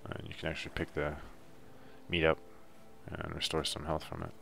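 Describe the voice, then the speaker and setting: A man's voice: extremely low pitch, monotone, moderate pace, gravelly, fairly bright tone, slight background noise. A man giving a laid-back, offhand, unscripted walkthrough commentary as he plays through a video game. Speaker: gameplay guide narrator.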